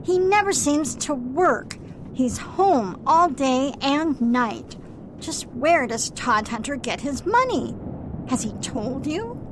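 A woman acts as a disapproving mother in radio drama. She speaks slowly and sternly in a high voice, over faint background rumble. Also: very animated, heavily acted. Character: disapproving, suspicious mother of grown daughter. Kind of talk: amateur radio play acting.

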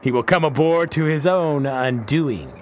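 Reformed pirate captain, radio play, male actor: earnest, pious, blunt, slightly raised pitch. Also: measured pace, a little hiss or hum, lightly acted. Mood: determined. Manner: blunt, solemn, formal old-fashioned speech. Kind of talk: radio drama acting.

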